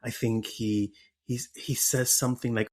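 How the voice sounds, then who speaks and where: A man hosts a casual book club podcast with a middle-pitched voice. He talks with eager, animated energy, circling back on his words and leaning on "like" as his thoughts pile up. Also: a little slow. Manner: enthusiastic, animated, with many restarts and "like". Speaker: podcast host.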